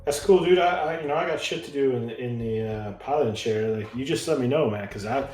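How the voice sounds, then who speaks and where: A man's voice: mid-range voice, fairly quick, a little mellow. A mid-voiced man improvises his part in a tabletop game from an echoey room. He talks loosely, full of slang and swearing. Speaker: tabletop roleplaying player. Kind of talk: casual improvised in-character game chat.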